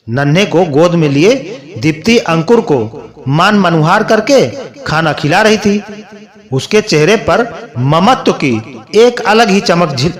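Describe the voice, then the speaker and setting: A man narrating a sentimental moral story, reading aloud in a measured storytelling manner. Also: a little high.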